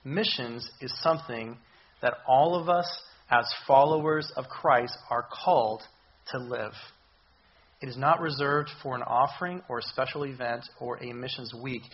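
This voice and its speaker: A man giving a sermon as a visiting missions speaker, his voice hoarse from recent illness, talking in a halting way with many ums and restarts. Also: medium pitch, muffled recording. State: hoarse, recovering voice. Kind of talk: church sermon. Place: church.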